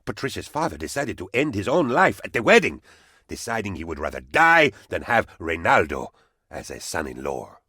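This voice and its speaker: A devoted scatterbrained male game sidekick to a dictator, super dramatic, pitch all over the place, dry recording. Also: sing-song, moderate pace, pushed and bright, slightly rough, no room sound, full character voice. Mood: melodramatic. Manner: theatrical, dramatic and eager to please. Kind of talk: video game character voice lines.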